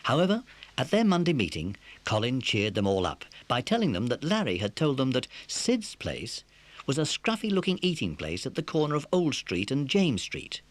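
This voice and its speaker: A man narrating a scripted children's story as an expressive storyteller. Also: medium pitch, moderate pace.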